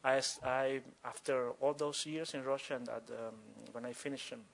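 A man from Cuba with a Cuban accent and Spanish as his first language, speaking spontaneously and haltingly as a guest author at a live talk. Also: medium pitch, fairly even intonation. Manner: halting, with repeated words and broken phrases.

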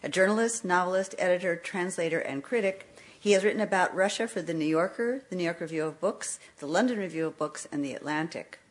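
Woman, lowish pitch, event presenter, formal measured reading, scripted introduction. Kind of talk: scripted introduction read to an audience.

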